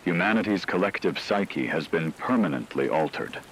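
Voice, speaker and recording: Very deep, solemn radio newscaster played by a man. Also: expressive, moderate pace, a little hiss or hum, poor audio. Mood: grave. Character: grave male radio newscaster reporting an apocalypse's aftermath. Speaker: radio news narrator. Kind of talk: scripted narration, fictional emergency broadcast.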